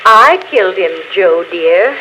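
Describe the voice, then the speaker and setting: An actress playing a scheming, dying murderess with a measured, deliberate delivery in a 1940s radio drama. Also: female voice, mid-range voice, animated, bright, forceful tone. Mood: calm, chilling confession. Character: coolly confessing, scheming murderess, sick and dying. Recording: noisy vintage 1940s radio recording.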